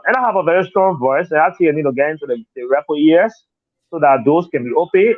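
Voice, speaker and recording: A man's voice: high voice, dry recording. A man with a Liberian accent answering interview questions as a political candidate, earnest and repetitive, often saying "you know". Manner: earnest, repeats words, leans on "you know". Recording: tinny dry phone line.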